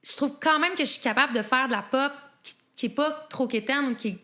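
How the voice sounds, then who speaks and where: A young woman with a strong Québécois accent chats loosely on a podcast, stringing her thoughts together with "tu sais". Her voice sits a little high and rings bright and forward. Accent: Québécois French. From Quebec. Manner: chatty, quick, fillers like "tu sais". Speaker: guest singer. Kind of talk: casual interview chat.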